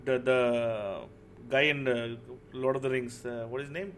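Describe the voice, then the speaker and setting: A man's voice: medium pitch, steady tone, a little slow, a crowd in the background. A man with an Indian accent hosting a casual group chat about films and games. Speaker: podcast host. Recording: music under the voice. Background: music.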